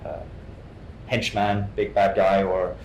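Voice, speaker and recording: A man from Hong Kong giving an informal interview as a guest actor, halting and often repeating his words. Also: level tone, darkish tone, dull audio. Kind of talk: informal artist talk, answering questions. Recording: echoey room, faint rumbling noise.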